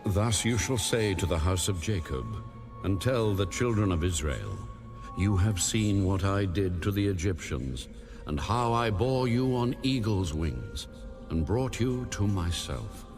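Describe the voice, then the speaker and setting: Deep, slow, solemn God. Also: male voice, animated. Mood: solemn, commanding. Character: God speaking, a solemn, commanding male deity. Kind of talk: dramatized scripture audiobook. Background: music.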